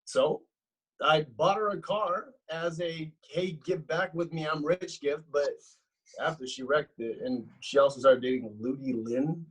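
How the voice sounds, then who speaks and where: A man's voice: on the high side, unhurried, slightly rough, rough recording. An actor playing a spoiled, self-absorbed rich young man, dramatic and indignant, in a comedic script read. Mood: bitter, indignant. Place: recorded remotely at home on a video call.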